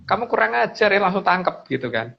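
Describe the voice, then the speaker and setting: A man explaining things casually as a guest, haltingly and with repeated phrases. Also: sits high, big pitch swings, gravelly, husky. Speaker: guest speaker on mystical lore. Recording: dull lo-fi audio.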